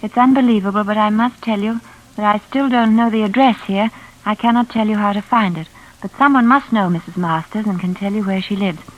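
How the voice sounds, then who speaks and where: An actress playing an anxious, polite young live-in companion who acts and narrates in a vintage radio thriller. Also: female voice, mid-range voice, a little fast, lo-fi sound, natural voice. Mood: pleading. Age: young adult. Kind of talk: old-time radio drama acting and narration. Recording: vintage radio drama recording.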